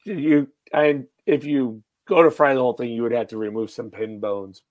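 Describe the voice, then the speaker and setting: Raspy man explaining fishing gear. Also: medium pitch, fairly even intonation, moderate pace, a little mellow. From western New York, US. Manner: matter-of-fact, explains gear in detail. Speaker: fishing guide. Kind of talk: casual chat.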